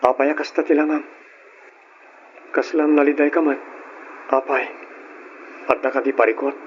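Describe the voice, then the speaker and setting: An actor playing an easygoing, devoted young Filipino boyfriend of modest means in a radio drama. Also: male voice, fairly high pitch, little pitch movement, normal speed, close to an everyday voice. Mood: concerned, puzzled. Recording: muffled, slightly noisy old radio recording.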